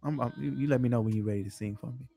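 A man's voice: medium pitch, very fast, creaky, dark tone. A young man in his twenties hosting a casual studio session, talking in a laid-back, slangy hype-man style. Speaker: host. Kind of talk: casual chat. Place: small studio room.

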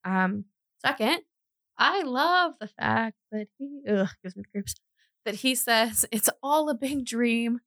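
A woman hosting a true crime podcast, telling the story conversationally with informal, animated asides. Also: fairly high pitch, slow pace, no echo, studio quality.

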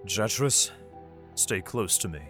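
A man's voice: on the low side, expressive, slow. Man playing a grim, protective father over music. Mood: guarded, stern. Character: grim, protective father fleeing alien invasion with his children. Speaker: narrator and lead character. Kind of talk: dramatic first-person audio drama narration. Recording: music under the voice. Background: dramatic music.

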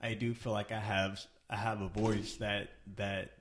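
Man, singer guest, low voice, hesitant, restarts sentences, casual chat. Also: level tone, unhurried.

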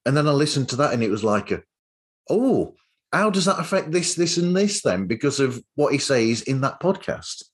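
Mid-pitched man hosting a casual interview. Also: big pitch swings, moderate pace. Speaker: podcast host, interviewer.

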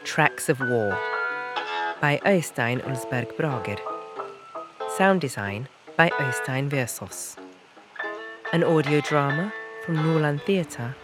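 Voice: medium pitch, lively intonation, slow, pauses often. A narrator reading scripted announcements and historical notes for an audio drama in a documentary style. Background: music.

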